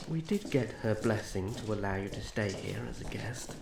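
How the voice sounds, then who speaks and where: A man playing a young elf prince in a fantasy ASMR roleplay, formal, soft-spoken, gentle and protective. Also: fairly low pitch, expressive, moderate pace, gravelly. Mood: gently concerned. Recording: roomy echo, faint background noise.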